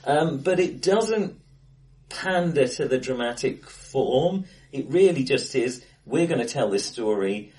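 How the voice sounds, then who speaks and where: A man co-hosting a casual film discussion, hesitant and self-correcting as he thinks aloud, recorded in an acoustically bright room. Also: medium pitch, expressive, a little slow. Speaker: film podcast co-host. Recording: echoey bright room, face to face.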